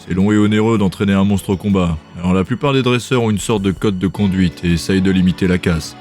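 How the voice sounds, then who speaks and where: A man voicing a gruff, pragmatic male companion who explains things bluntly in an audiobook. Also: fast, a little mellow, faint music behind, close to an everyday voice. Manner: blunt, low and matter-of-fact.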